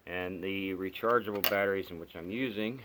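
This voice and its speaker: A man's voice: low-pitched, fairly quick. A man giving a casual, unscripted product demonstration as a hobbyist reviewer, plainspoken and half talking to himself as he works. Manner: plainspoken, muttering to himself while working. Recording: steady background noise, amateur home video.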